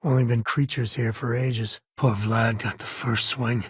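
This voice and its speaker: A very raspy, dry-recorded middle-aged man of the space frontier. He is a devoted father and easygoing explorer who talks in a folksy, wry way, naturally and without fuss. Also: mid-range voice, fairly even intonation, unhurried, no echo. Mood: grave, reflective. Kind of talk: video game voice acting, naturalistic dialogue.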